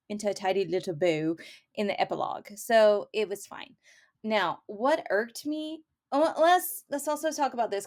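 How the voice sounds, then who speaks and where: A woman co-hosting a casual book review podcast, talking in an emphatic, opinionated and conversational way. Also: mid-range voice. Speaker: podcast co-host reviewing romance novels.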